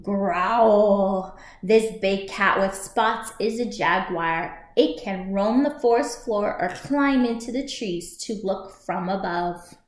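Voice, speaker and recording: A woman in her thirties reading a picture book aloud for young children as a storytime host, expressive and clearly paced. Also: mid-range voice, slow. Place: small room at home, indoors by a shuttered window. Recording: echoey home room.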